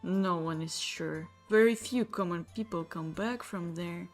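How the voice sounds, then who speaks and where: An actress playing a composed, probing older elf veteran in a fantasy story. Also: female voice, fairly low pitch, measured pace. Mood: musing, suspicious. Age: middle-aged. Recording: music bed under the voice.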